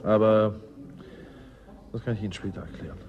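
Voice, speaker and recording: An actor playing a weary, wry climate scientist and mentor in a radio play, conversational and unhurried. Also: male voice, on the low side, flat delivery, narrow, tinny audio, natural voice. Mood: wry, matter-of-fact. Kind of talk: German radio play dialogue. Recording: radio play with clear background noise.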